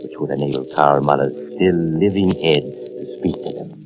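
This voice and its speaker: An actor playing a sinister, courtly storyteller, narrating old radio horror in a theatrical, deliberate, ominous way. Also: male voice, rumbling low, poor audio. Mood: grave, dramatic. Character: sinister, courtly male storyteller of strange tales. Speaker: host and narrator. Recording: old radio recording, loud music behind.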